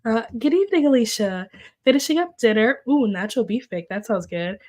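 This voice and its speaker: Young woman streaming from home, kinda high voice, stuffed-up nose, chatty and full of likes and ums. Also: animated, fairly quick, mellow. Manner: chatty, filler-heavy, reacts to comments. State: congested, recovering from illness, occasional cough. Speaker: livestream host. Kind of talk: casual livestream chat, reading viewer comments. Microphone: desk microphone.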